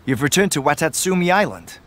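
A man's voice: a little high, big pitch swings, fairly quick, a bit bright, a little hiss or hum. A man voicing a young, deferential and eager soldier in a video game, speaking respectfully and earnestly. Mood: respectful, chatty. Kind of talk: animated video game dialogue. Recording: background music.

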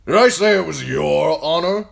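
Guy doing a grumpy old commander, gravelly and barky, voice swinging all over the place. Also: on the high side, lively intonation, normal speed, pitched above the performer's own voice. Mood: gruff. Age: older. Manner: blunt, needling, barking questions. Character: gruff old male military commander. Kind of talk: audiobook character voice.

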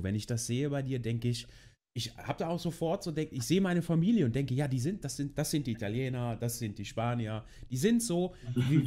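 A man's voice: medium pitch, warm tone. Stammering podcast host, man. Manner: halting, repeats words when asking. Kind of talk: casual interview chat. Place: studio with colorful backdrop.

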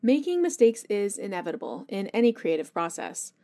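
A woman's voice: mid-range voice, big pitch swings, brisk, mellow. A woman asking scripted questions as an interviewer.

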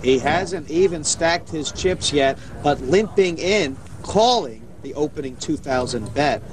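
A man's voice: on the high side, lively intonation, unhurried. A man doing play-by-play television commentary on a poker game. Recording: faint background noise, dated TV broadcast.

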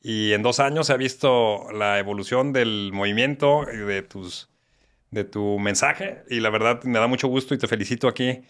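A man's voice: mid-range voice, normal speed. A man in his forties with a Mexican accent, a guest on a podcast, speaking earnestly with fillers. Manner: earnest, warm thanks, fillers like "este". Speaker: guest, notary. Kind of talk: podcast interview. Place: studio. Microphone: studio mic on boom arm.

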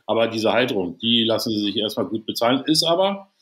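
A man's voice: on the low side, expressive, fast talker, fairly bright tone. A man in his thirties from Berlin, co-hosting a podcast over a video call on a headset. He talks loosely, swears in jest and explains gear eagerly. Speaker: co-host. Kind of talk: casual podcast chat.

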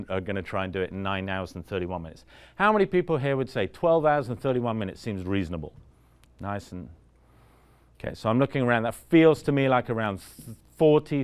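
A man's voice: fairly low pitch, smooth. A man in his forties giving a talk at a conference, speaking casually and often checking in with the audience with "right?". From Vancouver, Canada. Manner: conversational, asks the room questions, frequent "right?". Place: conference room in front of an audience.

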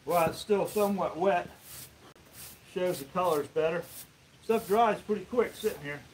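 Guy in his 50s, highish voice, unhurried live vlog talk outdoors, bit of background noise. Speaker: host, sawmill woodworker. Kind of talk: casual live vlog while working. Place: open-sided sawmill shed outdoors. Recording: outdoor workshop noise, varying distance from mic.

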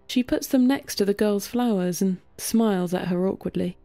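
A woman's voice: medium pitch, normal speed, dark tone. A woman reading scripted story narration for a fiction podcast.

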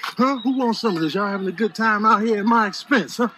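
A man voicing cocky, indignant animals in a comedic voice-over, with husky, streetwise, exasperated talk. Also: sits high, quick pace, pushed and bright, a little hiss or hum. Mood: taunting. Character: cocky, indignant trash-talking male animals.

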